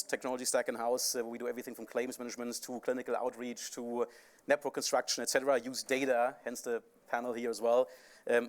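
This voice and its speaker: A man with a European accent, speaking as a panelist on a conference stage, explaining at length with frequent fillers. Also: mid-range voice. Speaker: panelist, insurance company CEO.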